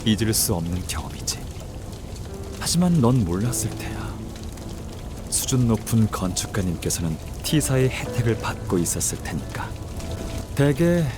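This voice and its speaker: An actor playing a bitter, guilty betrayer in a game drama, speaking in a deliberate, taunting way. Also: male voice, fairly low pitch, close to an everyday voice. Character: bitter, guilt-laden male betrayer making a confession.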